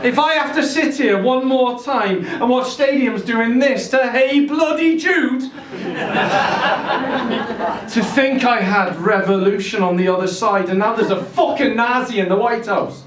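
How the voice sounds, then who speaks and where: A man's voice: very high voice, a little slow, crowd noise, voice pushed up. A man voicing a grumpy, sardonic dead rock legend from Liverpool, with a nasal Scouse accent and sweary ranting, in a live story reading. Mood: indignant. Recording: roomy distant live-event recording, noisy.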